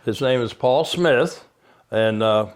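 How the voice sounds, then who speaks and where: A man's voice: medium pitch, slow. A man hosting an interview show, asking a veteran guest friendly questions. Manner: friendly questioning, lively intonation.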